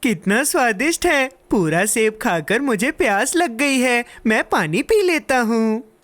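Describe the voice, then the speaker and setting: A woman voicing an excitable, curious young boy in a children's cartoon dub, with a dramatic, exclamatory manner. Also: a little high, a little slow. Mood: delighted, curious. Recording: music behind the voice.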